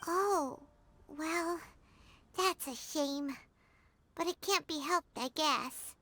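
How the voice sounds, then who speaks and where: Tiny fairy girl companion, extremely high squeaky voice, slow-paced, childlike, earnest, cartoonish game character. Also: a bit bright, heavily acted. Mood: puzzled, sympathetic. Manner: talks of herself in third person, childlike.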